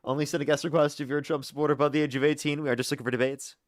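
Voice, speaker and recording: Young guy running a live call-in stream, talks super fast, dry close sound, keeps cycling through callers and cracking sarcastic jokes. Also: fairly high pitch, little pitch movement, no echo. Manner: brisk, repetitive screening of callers, sarcastic asides. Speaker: livestream debate host.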